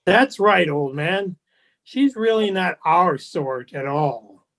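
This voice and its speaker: A man's voice: high voice, expressive, takes it slowly, fairly bright tone, heavily acted. A man plays a snobbish society go-between for a radio play. He is formal and genteel, deferential in his phrasing and faintly condescending. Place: remote home recording over video call. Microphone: video call.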